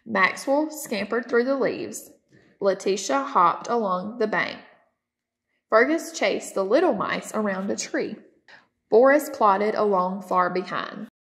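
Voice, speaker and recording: A woman narrates a children's storybook for storytime. She reads at a slow pace, with a medium-pitched voice. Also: lively intonation, gravelly, fairly bright tone. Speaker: storytime reader. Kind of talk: children's storybook read-aloud.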